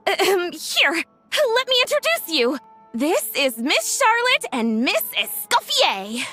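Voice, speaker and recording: A woman voicing an excitable, melodramatic female film director in an anime game, gushing theatrically. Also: very high-pitched, slow pace, heavily acted. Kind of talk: anime video game voice acting. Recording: music playing behind the voice.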